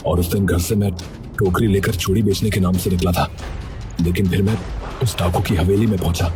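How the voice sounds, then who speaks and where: An earnest undercover detective from a village cartoon tale, voiced by a man. His voice sits low and he talks like someone explaining himself. Music plays clearly behind him. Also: fairly even intonation, fairly quick, close to an everyday voice. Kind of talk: Hindi cartoon story dialogue.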